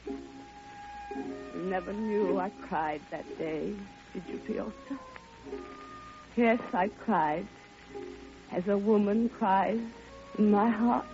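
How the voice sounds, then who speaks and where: A woman's voice: mid-range voice, wildly swooping pitch, full character voice. An actress playing a lonely, grieving, devoted woman in a theatrical, impassioned old-time radio monologue. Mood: tearful, tender. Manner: theatrical, hushed, impassioned, drawn-out phrasing. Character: grieving, lonely, devoted woman recalling her beloved friend. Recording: dull old radio recording, loud music.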